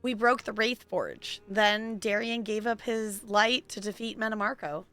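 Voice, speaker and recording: A woman's voice: mid-range voice, fairly even intonation, unhurried, a bit bright, soft background music. A woman game streamer giving chatty, casual playthrough commentary and reading dialogue replies aloud.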